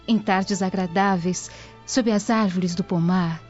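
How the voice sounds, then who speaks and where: A woman's voice: medium pitch, steady tone, slow pace, darkish tone, close to an everyday voice. An actress playing a devout, lovestruck young Roman noblewoman, reminiscing tenderly and solemnly in a radio drama. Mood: tender, wistful. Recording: dull lo-fi radio drama audio. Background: music.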